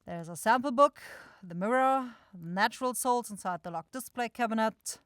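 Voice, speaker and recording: A woman's voice: on the high side, moderate pace, no echo, lightly acted. Woman voicing a grumpy, sarcastic demon shopkeeper, dry close sound. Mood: irritated, dismissive. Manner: blunt, irritable, exasperated, swearing. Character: grumpy, sarcastic demon goat-eyed shopkeeper sister, sharp-tongued. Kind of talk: scripted ASMR fantasy roleplay.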